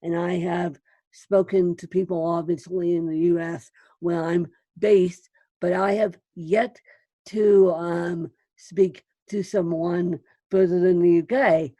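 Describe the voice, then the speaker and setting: A woman hosting an interview podcast, speaking deliberately as she puts questions to a guest. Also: fairly low pitch, fairly even intonation, mellow, dry recording, muffled recording. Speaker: podcast host.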